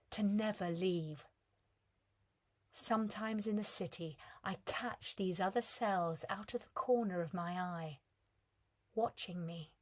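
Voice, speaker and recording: A woman with a slightly low voice reads prose in a measured, reflective way. She lets the ends of her lines soften and fall away. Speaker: reader of a competition entry. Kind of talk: calm literary reading.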